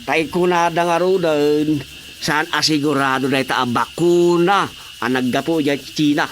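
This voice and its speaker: High-pitched, argumentative Filipino village councilor, played by a man. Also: normal speed, slight background noise, close to an everyday voice. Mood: skeptical. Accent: Filipino, Ilocano. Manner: argumentative, excitable, scoffing. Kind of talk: radio drama comedy acting.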